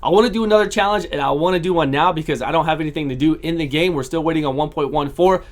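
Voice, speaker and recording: A man casually commentating his own gameplay, talking through each battle and repeating his phrases, his voice starting to go hoarse. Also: fairly high pitch, racing. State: voice going hoarse. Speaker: gaming channel host.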